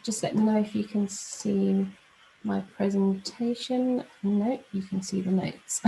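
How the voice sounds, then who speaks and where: A woman's voice: mid-range voice, flat delivery, measured pace, vocal fry, mellow, slight background noise, rough recording. A woman with a London accent giving an informal presentation on a video call, chatty and slightly flustered. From London, England. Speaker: aviation analyst giving a talk.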